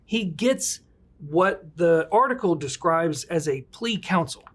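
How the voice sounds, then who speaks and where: A man's voice: on the high side, lively intonation, takes it slowly, fairly bright tone. Chatty joking male podcast host. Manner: chatty, joking, retells cases loosely. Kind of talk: casual legal talk.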